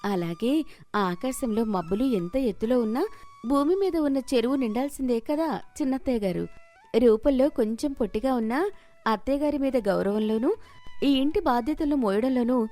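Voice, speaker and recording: Casting: a woman playing a young village bride, composed and quick-witted, fairly high voice, cartoon moral tale. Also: dark tone, faint music behind, natural voice. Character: calm, witty, dutiful young daughter-in-law. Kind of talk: animated moral story character voice.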